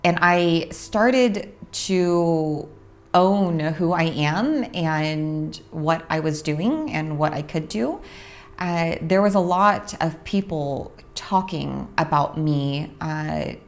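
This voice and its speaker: A young adult woman from Orange County, California, hosting a casual monologue about her spiritual work, unhurried and reflective with frequent pauses. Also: deep voice, expressive. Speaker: host, spiritual practitioner.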